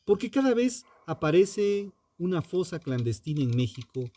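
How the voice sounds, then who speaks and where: A man narrating a scripted true-crime documentary in a solemn, dramatic manner, in short measured phrases with pauses. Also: sits high, sing-song, mellow, dry recording.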